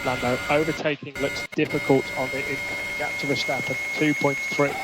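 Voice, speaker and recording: A man's voice: mid-range voice, flat delivery, brisk. A man working as a race engineer, giving terse, clipped instructions and updates over team radio, often repeating codes. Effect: team radio transmission. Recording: loud engine noise, team radio link.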